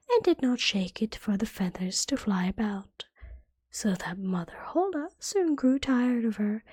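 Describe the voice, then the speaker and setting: A woman reading a fairytale as ASMR in a soft, breathy whisper, gently and carefully, like a bedtime storybook. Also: on the high side, no echo, clean studio sound.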